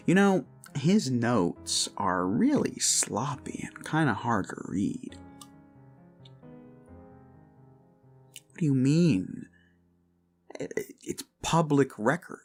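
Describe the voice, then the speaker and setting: A man playing an obsessive, possessive boyfriend in an ASMR roleplay, creepily sweet and theatrical, coaxing with pauses and drifts into whispers. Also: mid-range voice, very animated, soft background music. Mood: glib, menacing.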